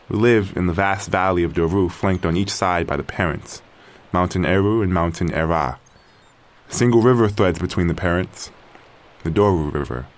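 A man playing a sardonic, easily irritated young exiled tribesman recording an audio journal in a drama. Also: deep voice. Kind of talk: audio drama acting, first-person journal monologue.

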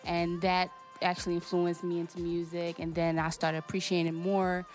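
A woman's voice: fairly low pitch, monotone, moderate pace, soft background music. A young woman from Portugal whose first language is Portuguese, a singer chatting hesitantly as a radio guest with many fillers. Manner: soft-spoken, hesitant, many fillers and laughs. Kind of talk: casual interview chat.

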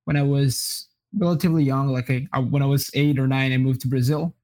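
A young man from Colombia, a native Spanish speaker, answering interview questions in a relaxed way with many fillers and restarts. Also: medium pitch, fairly quick. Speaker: guest. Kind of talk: casual interview.

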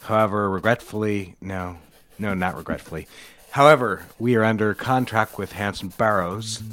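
An actor playing a cold, stern advertising executive in an audio drama, curt, formal and condescending. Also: male voice, on the low side, fairly even intonation, normal speed, a bit bright, close to an everyday voice. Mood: businesslike.

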